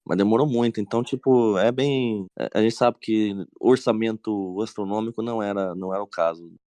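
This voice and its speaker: A Brazilian man with a lowish voice, recorded close and dry. He chats informally, with plenty of slang, like a panelist among fellow fans. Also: brisk, mellow, dry recording. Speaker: panelist on a fan roundtable. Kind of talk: casual chat.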